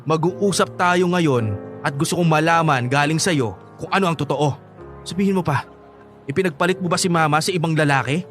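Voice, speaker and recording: Radio drama role: hot-headed, hurting young son, Filipino accent, slightly high voice male actor. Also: fairly quick, a bit bright, faint music behind, lightly acted. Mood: angry, accusing. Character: angry, wounded young son confronting his father.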